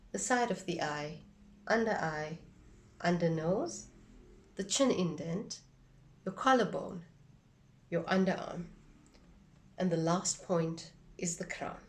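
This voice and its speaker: A woman giving an instructional demonstration in a measured, deliberate way, phrase by phrase. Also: lowish voice, far from the mic. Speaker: instructor demonstrating a tapping technique.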